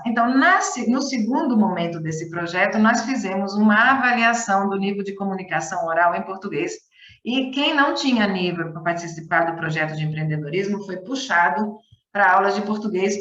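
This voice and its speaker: A woman's voice: mid-range voice, a bit bright. A woman giving a chatty conference talk over a video call. She restarts often and repeats words for emphasis. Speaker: conference speaker, volunteer teaching coordinator. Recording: roomy, distant, dull lo-fi call audio.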